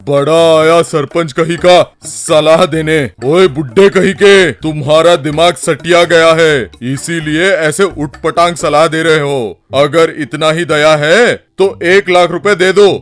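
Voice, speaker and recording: A man's voice: a little high, steady tone, a little slow, hardly any pauses, faint music behind, close to an everyday voice. A man voicing a drunken, bullying village husband in a cartoon story, boastful, scornful and blustering. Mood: mocking. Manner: blustering, taunting.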